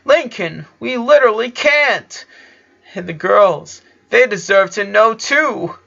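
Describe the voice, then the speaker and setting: A man voicing an upset, high-pitched teenage sister. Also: expressive, slow pace, vocal fry, lo-fi sound, exaggerated voice. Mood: distraught. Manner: pressing, emotionally forceful. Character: upset, insistent teenage older sister, confronting her brother.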